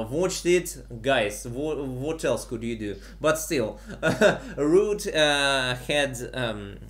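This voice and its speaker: Slow, halting male stream host. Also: medium pitch. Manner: halting, many fillers, frequent laughs. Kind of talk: casual live-stream chat.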